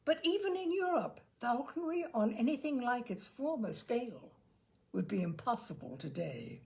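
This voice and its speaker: Animated, high-voiced woman reading with creaky edges. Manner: theatrical, measured phrasing with dramatic pauses. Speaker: reader of literary humour. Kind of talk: expressive reading of a comic essay.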